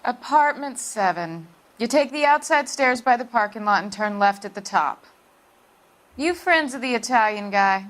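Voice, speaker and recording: A woman's voice: on the high side, expressive, moderate pace, a bit bright. A woman playing a plain-spoken, nosy apartment landlady in a 1940s crime game, matter-of-fact and gossipy. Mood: helpful, suspicious. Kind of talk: video game acting, period crime drama. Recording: music behind the voice.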